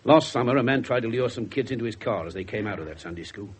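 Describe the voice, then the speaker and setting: Actor as gruff English detective, swooping delivery. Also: male voice, medium pitch, expressive, fast, close to an everyday voice. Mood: hard, pressing. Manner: blunt, pressing questioner. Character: gruff, callous, wry widowed male police detective. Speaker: police inspector. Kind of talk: 1970s radio drama acting. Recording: noisy 1970s radio broadcast recording.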